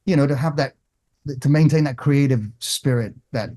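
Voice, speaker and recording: A middle-aged man from England, a guest guitarist, talking in a casual interview with many restarts and pauses. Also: mid-range voice, dark tone. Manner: halting, many restarts and fillers.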